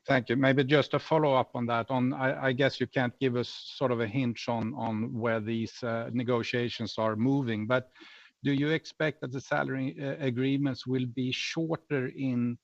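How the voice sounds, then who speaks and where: A male analyst asks follow-up questions on an earnings call. His pitch is average and his manner polite and tentative. The line sounds dull, with no room echo. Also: steady tone, moderate pace, vocal fry. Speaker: financial analyst asking questions.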